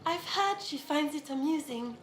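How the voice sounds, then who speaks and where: A woman's voice: high-pitched, animated, moderate pace, echoey room, a little hiss or hum. A woman playing a coy, gossipy noblewoman in a fantasy video game, with a genteel, coquettish manner. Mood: intrigued. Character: coy, gossipy female noble party guest.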